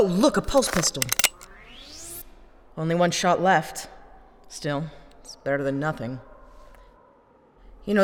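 A woman playing a tough, impatient, teasing adventurer with a blunt, mocking manner in a sci-fi audio drama. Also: on the low side. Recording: long reverb, clearly audible background noise.

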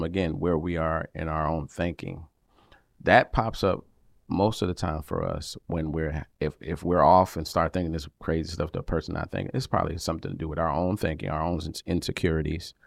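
A man with a deep voice that carries, co-hosting a casual chat with his wife, animated and getting louder when excited. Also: gravelly, no echo, clean studio sound. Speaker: podcast co-host. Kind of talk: casual married-couple chat.

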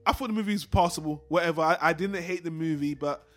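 Male film-show co-host, high register, rapid casual delivery, blunt and opinionated, faint music underneath. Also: a bit bright.

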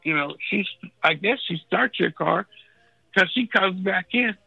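A man with a raspy voice, thirsty and unfed in a hospital room, telling a story in a laid-back, slangy way. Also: high voice, animated, a little slow, no echo, narrow, tinny audio, faint music behind. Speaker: guest calling in from a hospital bed. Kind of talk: casual storytelling chat.

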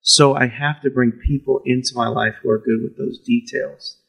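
Casting: male pastor, gravelly raspy voice, candid and self-deprecating. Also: medium pitch, fairly even intonation, moderate pace, a bit bright, low-quality recording. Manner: self-deprecating, laughs easily. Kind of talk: candid conversational storytelling.

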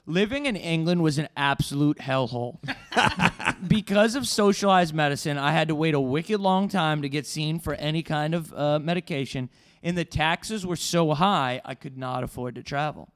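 Male co-host, slightly high voice, improvised deadpan storytelling punctuated by his own laughter. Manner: deadpan mock-serious, breaking into laughter. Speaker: podcast co-host.

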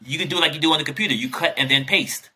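A man's voice: a little high, racing, sharp tone, slight background noise. A man co-hosting a podcast, chatting casually and teasing his guest with jokes. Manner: joking, teasing, playful. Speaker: podcast co-host.

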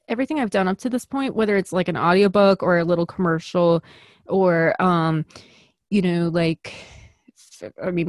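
Guest actor, woman with a Chicago accent, self-deprecating and laughing often; slightly low voice, dry recording. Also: darkish tone, no echo. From US Midwest. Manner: chatty, giggly, self-deprecating. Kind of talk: casual podcast interview.

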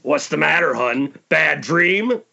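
A performer playing a leering, taunting male horror villain with a gravelly rasp in an amateur script reading over a muffled online call. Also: medium pitch, expressive, takes it slowly, sharp tone, full character voice. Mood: mock concern. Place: remote group Skype call.

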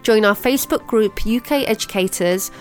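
A woman's voice: medium pitch, moderate pace, soft background music. A woman hosting a podcast, reading an upbeat promotional intro and then welcoming her guest. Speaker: podcast host.

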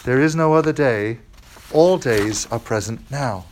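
A man voicing a calm angel who speaks slowly and gravely in a lowered voice. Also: a little hiss or hum, close to an everyday voice, poor audio. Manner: slow, grave, measured. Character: calm, burning male angel.

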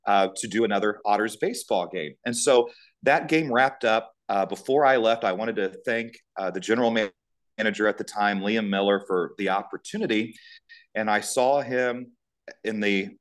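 A man's voice: fairly low pitch, moderate pace. A middle-aged American man from Indiana, a sports announcer, telling his own story in an earnest, detailed, conversational way as an interview guest. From United States, Indiana.

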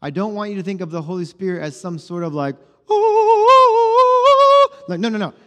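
A man's voice: high-pitched, big pitch swings, normal speed, pushed and bright. Pastor in his forties, echoing hall. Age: 40s. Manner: conversational storytelling, acts out dialogue. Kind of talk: sermon. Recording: long hall reverb.

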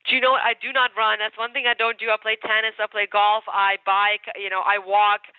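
A woman's voice: mid-range voice, flat delivery, a little fast, no room sound. A woman with a Ukrainian accent, a mortgage broker chatting as a guest in an interview, upbeat and talkative, repeating words for emphasis. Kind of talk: casual podcast interview. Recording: tinny phone line, dry.

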